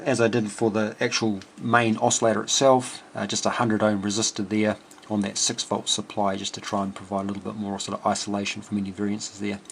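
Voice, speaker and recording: A man's voice: fairly low pitch, gravelly, a bit bright, a little hiss or hum. A man giving an informal technical walkthrough as a hobbyist builder, methodical and matter-of-fact, thinking aloud as he goes. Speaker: amateur radio builder explaining his circuit.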